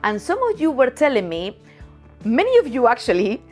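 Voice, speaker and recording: A woman's voice: on the high side, huge pitch swings, normal speed, a little mellow, faint music behind. A woman in her thirties from Spain with a Spanish accent, talking frankly and chattily to camera as a photography vlogger, laughing easily. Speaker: photography youtuber. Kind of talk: casual direct-to-camera talk.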